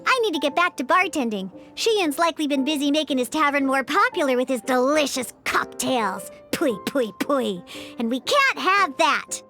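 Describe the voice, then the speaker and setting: A woman's voice: high-pitched, expressive, normal speed, pushed and bright, faint music behind, full character voice. A woman voicing a feisty, proud little cat-girl bartender in a video game, blunt but grudgingly encouraging. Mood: brash, prickly. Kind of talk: video game character dialogue.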